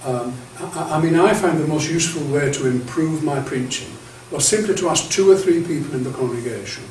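A man's voice: on the high side, a little fast, darkish tone. An older man giving a chatty, anecdotal training talk to clergy, often restarting with stammered repeats, heard from a camera across a meeting room. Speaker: bishop leading clergy training. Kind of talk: lecture to clergy. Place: classroom-sized meeting room with projector screen. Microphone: far camera. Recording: echoey room, far from the mic, faint hiss.

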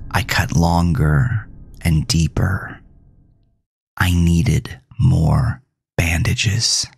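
A man narrating a first-person horror story for a podcast, with dramatic pauses and whispery emphasis. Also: a bass voice, creaky.

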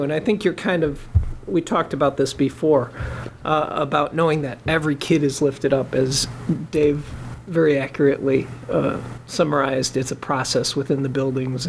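A man in his forties, a school board member, speaking haltingly with many pauses at a meeting through a desk microphone. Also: on the high side, steady tone, vocal fry, slight background noise. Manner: halting, many fillers and restarts. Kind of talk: meeting remarks.

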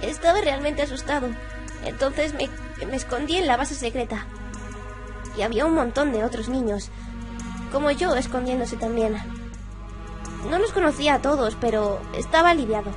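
A woman's voice: high-pitched, moderate pace, over music, natural voice. A woman voicing a scared young boy from a video game, earnest and anxious. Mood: frightened.

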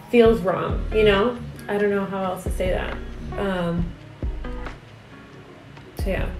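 A young woman talking casually about her week, halting with many fillers, her voice slightly raspy. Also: medium pitch, echoey room, low-quality recording. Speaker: art vlogger. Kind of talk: casual confessional talk. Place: home studio room with desk and monitors.

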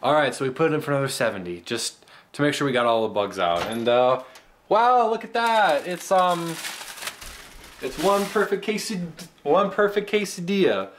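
A man, hosting a mock cooking tutorial in a halting, deadpan way. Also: a little high. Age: 20s. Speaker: host of a comedic cooking segment. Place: home kitchen indoors. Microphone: camera mic held by another person nearby. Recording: noisy camera audio, room noise.

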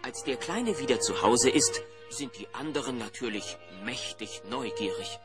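A man narrating a scripted children's audio play in an animated storytelling style. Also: mid-range voice, moderate pace, over loud music, close to an everyday voice.

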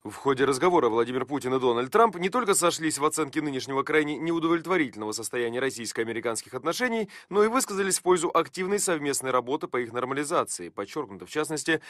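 Brisk, dry male news voice-over. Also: fairly high pitch, fairly bright tone, no echo. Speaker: voice-over reader of quotes. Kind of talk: scripted news voice-over reading.

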